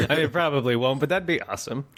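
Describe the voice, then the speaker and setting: A man's voice: medium pitch, fairly quick, fairly bright tone. A man hosting a gaming podcast in casual chat, enthusiastic and jokey, often ready to chuckle. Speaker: podcast host.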